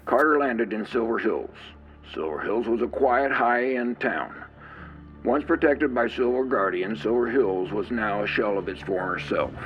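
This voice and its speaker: A man giving scripted narration for a fan audio drama, in a steady storytelling manner. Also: lowish voice, unhurried, dull audio, soft background music.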